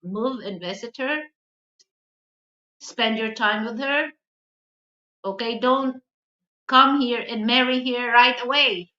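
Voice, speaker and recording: A woman with a Filipino accent, a native Hiligaynon speaker, chatting casually to viewers as a vlog host and often repeating her words haltingly. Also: a little high, bright, forceful tone. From the Philippines. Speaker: video channel host. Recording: dull, roomy, echoey sound.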